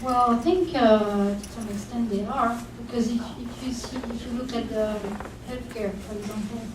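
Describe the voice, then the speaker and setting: A woman's voice: on the high side, steady tone, moderate pace, noisy background. A woman from France speaking as a panelist, hesitant with many fillers. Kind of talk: panel discussion. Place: conference room. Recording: echoey room, voice from far off.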